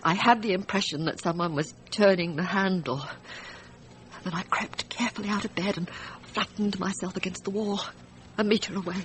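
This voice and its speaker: An actress plays a distressed wife in a radio drama, her voice at a middling pitch. She testifies haltingly, haunted and unsure of herself. There is faint noise on the recording. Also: lightly acted, poor audio. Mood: haunted, fearful. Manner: halting, hesitant, haunted recounting. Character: frightened, distressed wife testifying about a night shooting.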